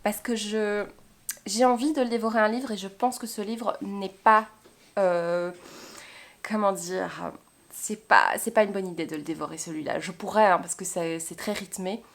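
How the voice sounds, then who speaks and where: A woman from Belgium talks casually to camera as a book vlogger. Her voice sits at a medium pitch for a woman. She is chatty and informal, with a self-deprecating way of talking. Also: steady tone, normal speed.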